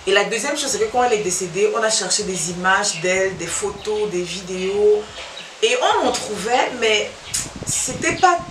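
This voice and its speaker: A woman's voice: medium pitch, heavy background noise. Cameroonian woman with a Belgian-tinged accent, echoey room. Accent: Cameroonian French with a Belgian touch. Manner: chatty, repeats phrases, trails off and restarts. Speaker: YouTuber. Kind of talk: casual talking-to-camera Q&A. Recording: echoey room, mic at a distance.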